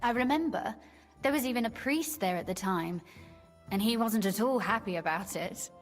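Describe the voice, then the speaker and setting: A woman's voice: a little high, moderate pace, close to an everyday voice. An actress playing a young, curious noblewoman in a video game, speaking in a genteel, articulate and teasing manner. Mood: nostalgic, intrigued. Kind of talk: video game dialogue acting. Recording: long reverb tail, faint game music behind.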